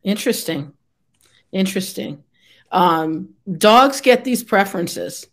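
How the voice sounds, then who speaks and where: A middle-aged woman hosting a video call interview from home, friendly with quick affirmations and opinions. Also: mid-range voice, lively intonation. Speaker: host. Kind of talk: casual interview chat. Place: home room with brick wall.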